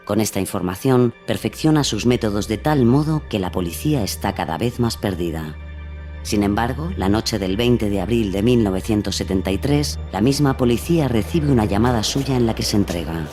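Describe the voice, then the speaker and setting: Low-pitched man narrating a crime drama. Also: animated, fairly quick, a little mellow, soft background music. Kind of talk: scripted radio drama narration.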